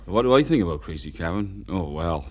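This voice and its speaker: A man's voice: sits low, lively intonation, fairly quick, a little mellow, no room sound. A middle-aged man, a band drummer, speaking in a casual interview with a dry, teasing, hesitant manner.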